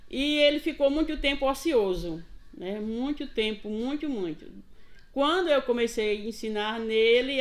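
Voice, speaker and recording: An older woman from Paraíba with a Northeast Brazilian accent, a retired teacher earnestly recalling her years at a rural school in an interview. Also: on the high side. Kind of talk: casual interview, recounting memories.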